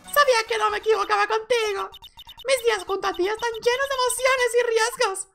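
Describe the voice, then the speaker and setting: A flirty confident game wife, super high voice, music behind. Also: male voice, fairly even intonation, brisk, gravelly, bright, forceful tone, full character voice. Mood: adoring.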